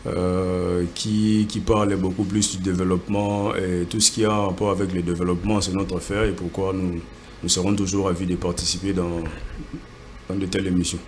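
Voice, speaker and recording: A man from Congo with a fairly low voice speaks as a guest entrepreneur in a TV studio interview. He talks earnestly and often repeats words while he searches for the next one. A faint ambient hum sits behind him.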